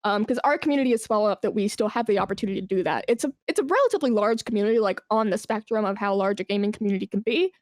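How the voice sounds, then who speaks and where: A woman's voice: medium pitch, rapid-fire, hardly any pauses, darkish tone, no room sound, clean studio sound. A woman talking informally as an interview guest, explaining things with examples and emphasis. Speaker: esports brand manager, podcast guest. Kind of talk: conversational interview.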